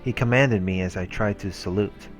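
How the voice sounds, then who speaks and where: A man with a very low voice reads a visual novel aloud for a let's play, slipping between narration and offhand comments. Faint music plays behind him. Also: normal speed. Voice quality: low-pitched. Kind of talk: read-aloud narration with casual asides. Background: game music.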